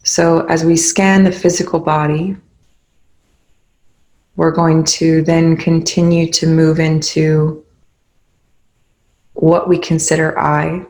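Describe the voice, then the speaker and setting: Slow, even-toned meditation guide. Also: mid-range voice, low-quality recording. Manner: gentle instructional phrasing with pauses.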